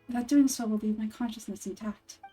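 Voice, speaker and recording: Earnest, mellow scholarly woman voiced by a woman. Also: a little high, steady tone, breathy edge, soft background music. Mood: earnest, wistful. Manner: formal, articulate, measured. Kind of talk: video game dialogue read-through.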